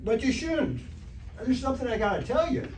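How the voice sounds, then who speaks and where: A man playing a good-natured, slow-witted high school boy in an old-time radio comedy, folksy and earnest. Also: lively intonation. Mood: earnest, worried. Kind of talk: 1940s-style radio comedy acting on stage. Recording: distant, echoey stage recording with noise.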